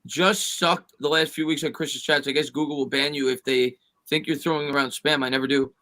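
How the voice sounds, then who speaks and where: A man's voice: on the high side, steady tone, fairly quick, pushed and bright. A man co-hosting a casual sports livestream, chatting with viewers and fellow panelists. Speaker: sports stream co-host.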